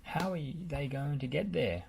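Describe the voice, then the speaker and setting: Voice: mid-range voice, expressive, a little slow, creaky, darkish tone, pitched above the performer's own voice. A storyteller voicing a timid, trembling crane machine in a children's storybook, hesitant and gulping, with background noise. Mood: uncertain.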